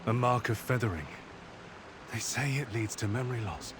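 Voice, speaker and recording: A man's voice: mid-range voice, animated, normal speed, close to an everyday voice. An actor playing a concerned, loyal male scout in a game cutscene, speaking earnestly and explaining as he goes. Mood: worried, urgent. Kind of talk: scripted game cutscene dialogue. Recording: clear ambient noise behind the voice.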